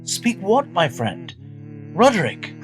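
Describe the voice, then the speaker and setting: An actor playing an anxious, reasonable gentleman in a radio drama, speaking in an earnest, formal, theatrical way. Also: sits high, wildly swooping pitch, slow, soft background music, full character voice, low-quality recording. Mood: alarmed. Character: anxious, reasonable gentleman soothing his frightened friend.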